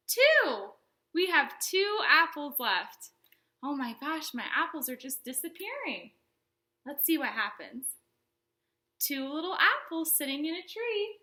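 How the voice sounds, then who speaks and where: A woman hosting a storytime for young children, speaking in simple explanatory phrases with an animated, sing-song delivery. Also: high voice. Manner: animated, exaggerated questions and exclamations for young children. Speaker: children's librarian and storytime host.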